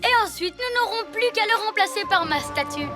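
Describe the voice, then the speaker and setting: Very high-pitched boastful boy ninja villain. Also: animated, brisk. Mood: boastful, gloating. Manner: pompous, taunting. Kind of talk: French cartoon dubbing. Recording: loud music underneath. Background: loud cartoon score.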